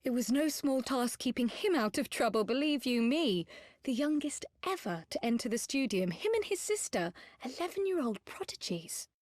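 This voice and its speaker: A woman's voice: high-pitched, animated, moderate pace, a little mellow, no room sound, studio quality. An actress playing a perky, confident young woman scholar in a fantasy video game, speaking eloquently. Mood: playful, teasing. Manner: teasing, eloquent, crisp formal phrasing.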